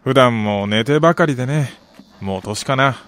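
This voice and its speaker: A man's voice: medium pitch, normal speed, a bit bright, no echo, close to an everyday voice. An actor playing an affable man in his forties in a radio drama, easygoing and folksy in his talk. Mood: friendly, easygoing. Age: 40s. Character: affable fortyish man, dog owner and grumbling football fan.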